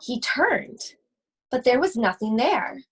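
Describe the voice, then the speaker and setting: A woman reading a children's storybook aloud expressively, with deliberate, suspenseful phrasing and drawn-out words. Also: on the high side, sing-song, slightly rough. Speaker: school library read-aloud host.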